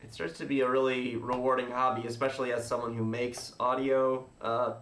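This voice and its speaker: Bright-voiced man chatting casually, roomy sound. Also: mid-range voice, little pitch movement. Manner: halting, filler-heavy, thinking aloud. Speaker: host of a streamed show. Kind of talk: casual chat while playing a game. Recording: roomy, echoey group recording.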